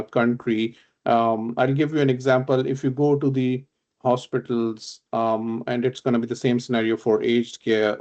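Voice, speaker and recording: Pakistani-born man demoing software in a webinar. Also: mid-range voice, muffled recording. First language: Urdu. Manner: explanatory, measured, with frequent fillers and restarts. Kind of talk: webinar product demo.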